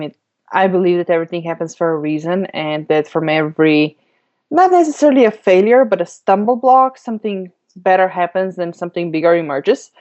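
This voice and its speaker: A woman from Slovenia, chatting as a guest on an interview podcast, laughing easily with many ums. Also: on the low side. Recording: dull lo-fi audio.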